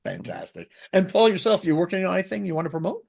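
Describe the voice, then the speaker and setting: Male interview host, husky edge, animated intonation, conversational delivery. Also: high voice, fast. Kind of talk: casual interview chat.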